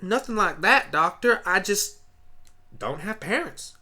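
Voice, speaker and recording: A woman voicing an eager, excitable young farm pony with a folksy way of talking in a fan radio play. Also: medium pitch, a little slow, voice pushed up. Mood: plain, earnest.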